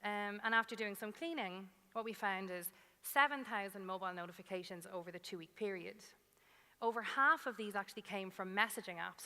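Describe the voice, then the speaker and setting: A woman speaks from the stage of a big, echoing hall in a bright, forward voice at a middling pitch. She delivers a prepared talk in a storytelling way, putting questions to the audience and dropping in short, emphatic asides. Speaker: conference speaker, data scientist. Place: large conference hall stage. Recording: reverberant hall sound.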